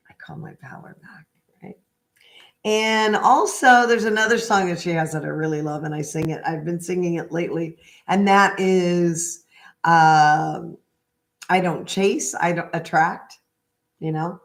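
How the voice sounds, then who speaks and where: A middle-aged woman in a gentle read-aloud style with casual, chatty asides and a halting, unhurried manner. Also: fairly low pitch. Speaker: spiritual healer reading a picture book aloud.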